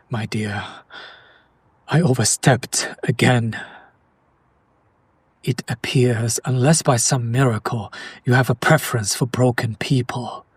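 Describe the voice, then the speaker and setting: Man acting a slow, brooding, romantic vampire nobleman. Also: mid-range voice, breathy edge, no echo. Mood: apologetic, resigned. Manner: formal, theatrical, slow and hushed, dramatic pauses. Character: tormented noble male vampire, centuries old, romantic and brooding. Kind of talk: intimate audio roleplay monologue addressed to the listener.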